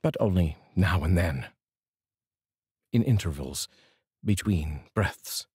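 A middle-aged man with a very low, husky voice. He speaks as a poetry reader, with deliberate phrasing. The sound is close and dry, from a studio microphone. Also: expressive, a little mellow, dry recording. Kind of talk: poetry reading with casual introduction. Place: small dark indoor studio. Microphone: large studio microphone, close.

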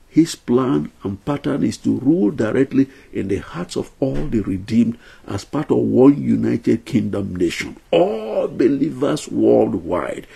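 A man's voice: mid-range voice, creaky, mellow, breathy edge. An older man teaching a Bible lesson to camera in a small room at home, earnest and emphatic, counting out his numbered points.

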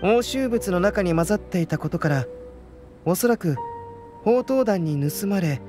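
Young alchemist knight in a game, calm n analytical, high light male voice, music under it. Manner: measured, explanatory, unflustered. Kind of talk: video game dialogue.

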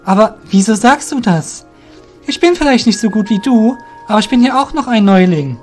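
A man's voice: high voice, lively intonation, moderate pace, a little mellow, faint music behind, pitched above the performer's own voice. A man playing an earnest, proud young rogue and magic novice in a fantasy audio drama, speaking in a firm, forthright way. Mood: hurt, puzzled. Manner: firm, friendly, principled, forthright.

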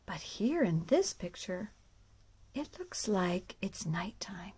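A woman reading a picture book aloud to young children, often hushed, with exaggerated wonder. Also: medium pitch, big pitch swings, takes it slowly, slightly rough. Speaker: storyteller and children's music teacher.

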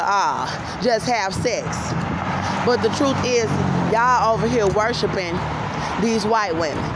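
An American woman in her thirties talking insistently and emphatically into a handheld phone as she walks, often repeating her phrases. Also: mid-range voice. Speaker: vlogger. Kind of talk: livestream-style monologue to camera while walking. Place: outdoors on a city street at night, walking. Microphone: handheld phone at arm's length. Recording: noisy phone recording on city street. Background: traffic and street noise.

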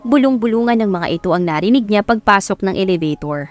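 A woman's voice: medium pitch, expressive, fast talker. Filipino woman narrating over music. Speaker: audiobook narrator. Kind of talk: scripted narration of a Tagalog love story.

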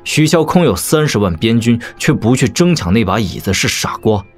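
An actor playing a proud young warrior prince in an audio drama, measured, cutting and mock-polite. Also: male voice, medium pitch, sing-song, faint music behind. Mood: solemn, proud.

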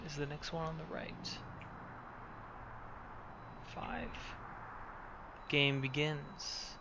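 Slow, creaky-voiced man over loud noise. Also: a little high, dull audio. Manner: halting read-aloud with muttered asides. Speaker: gamer playing and narrating a roleplay playthrough. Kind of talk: gameplay commentary, reading on-screen text aloud. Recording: noisy recording with loud background ambience.

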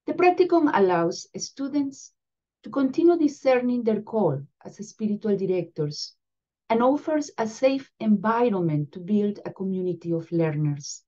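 Middle-aged woman, Venezuelan Spanish accent, native Spanish speaker; mellow tone, careful, steady delivery; webcam sound, a bit muffled. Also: medium pitch, normal speed. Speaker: spiritual direction supervisor. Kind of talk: prepared introduction to a program. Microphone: video call webcam.

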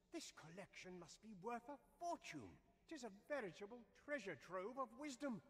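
An actor playing an earnest, bookish older scholar in a fantasy game, formal and emphatic, with archaic phrasing. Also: male voice, high-pitched, fairly bright tone, breathy edge, heavily acted. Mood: awed.

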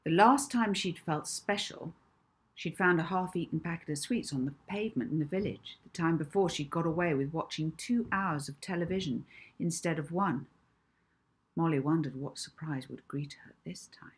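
A woman in her fifties reading her own children's book aloud as an expressive, deliberate storyteller. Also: low-pitched, husky. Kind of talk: children's audiobook narration. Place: small indoor room at home.

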